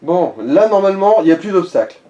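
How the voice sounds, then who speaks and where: A man's voice: high voice, sing-song, fast, mellow, dull audio. A man chatting casually as he advises another player through a video game, blunt and prone to swearing. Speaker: co-player advising on a let's play. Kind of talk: casual gaming chat.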